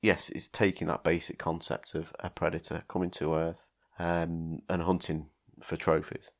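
A man's voice: sits low, level tone, moderate pace, vocal fry. A man hosting a casual film podcast, talking in a hesitant way with many ums and restarts. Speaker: podcast host.

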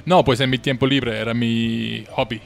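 A man's voice: medium pitch, steady tone, normal speed, gravelly. A young man from Austria, a native German speaker, chatting casually as a musician guest and searching for words. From Vienna, Austria. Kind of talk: casual chat.

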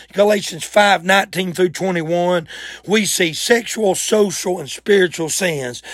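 A man preaching a fervent church sermon in a raspy voice, with emphatic, folksy exclamations and repeated phrases. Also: high-pitched, a bit bright, no room sound, studio quality. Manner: folksy, colloquial.

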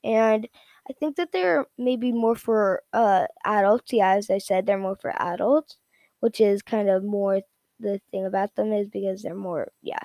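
A woman giving a casual, unscripted product rundown in a halting, repetitive, informal way. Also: mid-range voice, a little mellow, dry recording, clean studio sound. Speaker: product reviewer.

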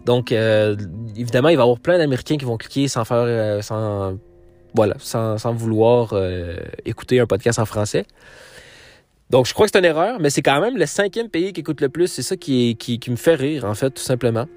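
A man's voice: mid-range voice, expressive, normal speed, darkish tone. A man from Quebec with a Quebec French accent, hosting his podcast and talking casually, with many fillers and restarts. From Quebec, Canada. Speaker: podcast host. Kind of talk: casual solo podcast outro.

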